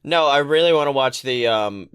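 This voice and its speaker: A woman's voice: a bass voice, normal speed, clear, resonant tone. Blunt, sweary woman bantering casually. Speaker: podcast co-host.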